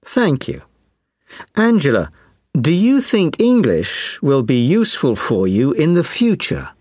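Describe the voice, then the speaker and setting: Low husky woman asking slow questions. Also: sing-song, a little mellow, dry recording, clean studio sound. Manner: slow, clearly spaced questions. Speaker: speaking test examiner. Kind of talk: scripted exam interview.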